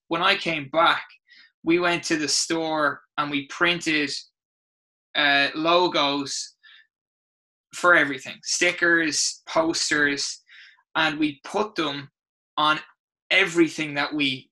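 A middle-aged man with an Irish accent, a rugby coach telling stories in an interview, expressive and full of restarts. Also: on the high side, low-quality recording. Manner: animated, expressive storyteller with many restarts. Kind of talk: interview storytelling.

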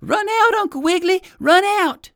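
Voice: moderate pace, dry recording, studio quality. A storyteller voicing a brave, cheeky young squirrel boy who calls out in a children's storybook. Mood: urgent. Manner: high, loud calling out.

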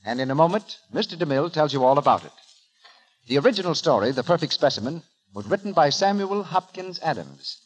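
A man's voice: on the high side, big pitch swings, brisk, slightly rough, low-quality recording. A man making formal, declarative scripted announcements as a 1930s radio announcer. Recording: vintage 1930s radio broadcast recording.

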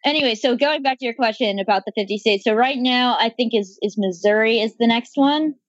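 A woman's voice: fairly high pitch, brisk, dry recording, highs cut off. Chatty young woman with slight Southern accent. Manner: chatty, wry, many fillers and restarts. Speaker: guest, architecture blogger. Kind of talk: casual interview chat.

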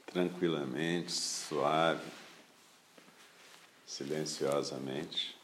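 Brazilian guy teaching guided meditation, super deep voice, calm n unhurried, little pauses between phrases. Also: a little hiss or hum. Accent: Brazilian Portuguese.

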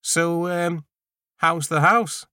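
A man's voice: a little high, fairly even intonation, very slow, bright, forceful tone, clean tone, no echo, clean studio sound, pitched above the performer's own voice, heavily acted. A man voicing an awkward, bumbling male neighbour in an audiobook, hesitant and stammering as he makes small talk.

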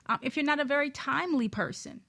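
A woman's voice: sits high, lively intonation, fast talker, vocal fry, pushed and bright. A woman speaking as a guest expert, giving conversational advice in a frank, emphatic way.

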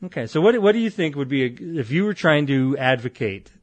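A deep-voiced man co-hosting a podcast, telling a rambling story full of restarts and quoted voices. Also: big pitch swings, a little fast, no echo. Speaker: podcast co-host. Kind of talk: casual podcast banter.

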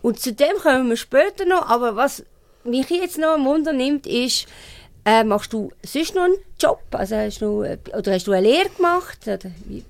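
A woman's voice: sits high, animated, moderate pace, gravelly, dry recording. A woman with a Swiss German accent hosting a conversational interview. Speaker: radio interview host.

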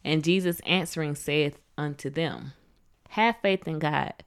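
A woman with a low, warm-toned speaking voice co-hosts a relaxed faith podcast. She banters and laughs easily, then reads Bible passages aloud. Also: measured pace, clean tone. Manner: playful, laughs often, reads scripture aloud. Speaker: podcast co-host. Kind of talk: casual faith podcast chat and scripture reading.

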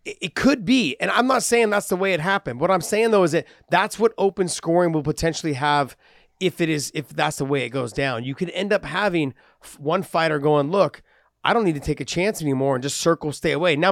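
A man co-hosting a casual sports podcast, talkative and animated, explaining his views at length. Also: fairly high pitch, brisk, no echo, clean studio sound. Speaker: podcast co-host.

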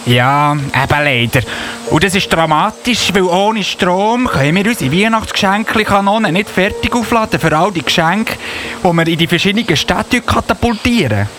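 A man's voice: high voice, animated, normal speed, a bit bright. A man with a Swiss accent playing a fussy, self-important elf policeman in a radio play, indignant and officious. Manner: indignant, officious, with repeated verbal tic. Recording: noticeable buzzy background noise.

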